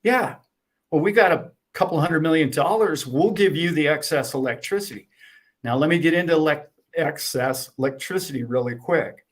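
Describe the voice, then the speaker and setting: Animated higher-voiced man explaining. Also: moderate pace. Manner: animated, acts out dialogue. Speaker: guest. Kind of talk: explaining to a host.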